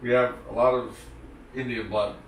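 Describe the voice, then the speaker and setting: A man's voice: mid-range voice, level tone, moderate pace, gravelly. A man whose first language is French, giving dry, sarcastic, wide-ranging answers as an interview guest. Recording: echoey room, distant mic, faint noise.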